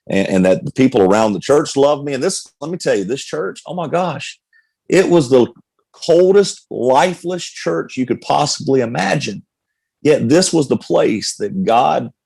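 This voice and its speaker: A man's voice: mid-range voice, gravelly, a little mellow, no room sound. A middle-aged man, a pastor, telling his life story candidly in conversation, with frequent restarts. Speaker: pastor, guest. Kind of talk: personal testimony in conversation.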